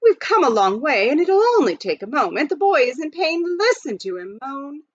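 A woman's voice: high voice, very animated, moderate pace, voice pushed up. A woman voicing a polite, clever male butler in a storybook reading; formal, courteous speech on a dull lo-fi recording.

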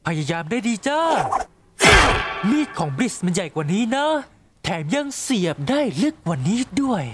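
Man acting a high-pitched defiant owl demon. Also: big pitch swings, normal speed, slight background noise. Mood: mocking. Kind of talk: Thai fan-dub cartoon acting. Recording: music behind the voice.

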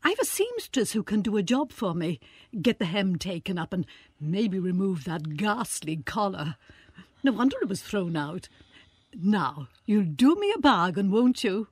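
An actress playing a haughty, bargain-hunting shop customer with an affected manner in a radio drama. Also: female voice, medium pitch, big pitch swings, measured pace, husky, dry recording, clean studio sound. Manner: affected, self-satisfied.

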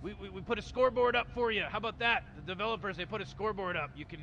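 A fast-talking, smug old mad scientist, played by a man, rambling through his schemes with dismissive confidence. His voice sits fairly high and rushes along. Loud traffic and street noise run underneath. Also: gravelly, dull audio, full character voice. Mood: breezy. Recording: noisy outdoor recording with traffic.

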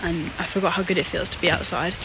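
A woman's voice: lowish voice, fairly even intonation, fast talker. A woman vlogging outdoors on a hike, talking in a casual, reflective way. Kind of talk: casual reflective chat while hiking. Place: outdoors on a hiking trail. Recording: very noisy outdoor wind and water sound.

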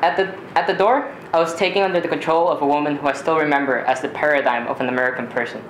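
A teenage male from Ecuador, a native Spanish speaker, reading a personal story aloud in a measured, deliberate way with occasional stumbles. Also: on the high side, fairly even intonation, fairly bright tone. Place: small community theater stage. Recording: roomy live stage recording, faint noise.

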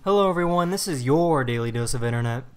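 A man's voice: mid-range voice, expressive, fast talker, over music. A man reading scripted narration for a compilation of short viral clips.

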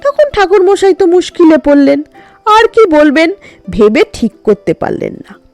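Woman, children's audio story narrator, swooping intonation, unhurried, dry close sound. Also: extremely high pitch, no room sound, faint music behind. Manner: slow, animated, storytelling delivery.

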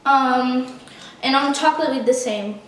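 Teenage girl, high voice, giggly, playful, casual cooking vlog, echoey kitchen, far camera, noisy. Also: moderate pace. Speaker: vlog co-host. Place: home kitchen, indoors. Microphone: far camera on a tripod.

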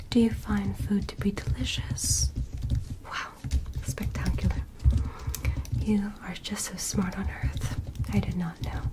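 A woman whispering as a gentle, curious alien doctor in a soft, soothing ASMR medical roleplay. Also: mid-range voice, natural voice. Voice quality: breathy unvoiced whisper. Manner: soft, slow, soothing reassurance with clinical instructions. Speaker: ASMR performer.

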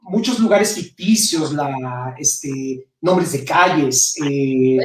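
A man's voice: fairly high pitch, drawn-out words, distant mic. A middle-aged Mexican man chats as a guest, his accent lightly colored by Spain. He often restarts his phrases as he explains things. The sound is roomy and set back from the microphone, like a video call from home. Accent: Mexican Spanish with some Spain influence. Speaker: interview guest, novelist. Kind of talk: casual interview talk.

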